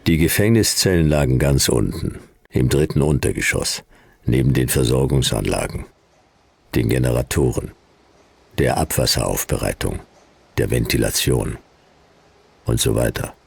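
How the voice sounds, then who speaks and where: An actor playing a cynical hard-boiled detective in a noir radio drama, speaking in dry, clipped, deadpan phrases. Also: male voice, a bass voice, moderate pace, vocal fry, long pauses, husky, dry recording, exaggerated voice. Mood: terse, sardonic.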